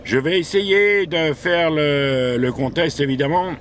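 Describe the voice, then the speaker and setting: A man chatting casually as an amateur radio operator, speaking haltingly and repeating words. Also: a little high, fairly bright tone, slight background noise. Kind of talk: casual radio contact chat.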